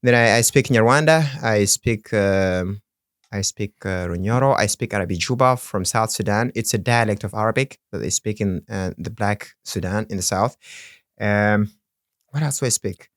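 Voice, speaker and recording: A man's voice: fairly low pitch, moderate pace, no echo, clean studio sound. A man from the Congo whose first language is Swahili, talking earnestly as a podcast guest, often laughing and restarting words. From Democratic Republic of the Congo. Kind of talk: casual interview chat.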